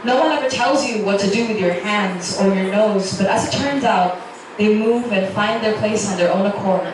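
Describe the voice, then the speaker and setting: A woman's voice: medium pitch, normal speed. A woman narrating a romance story in the first person as a dramatic literary reading. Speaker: narrator reading a romance novel excerpt aloud. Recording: roomy echo, distant mic, noisy background.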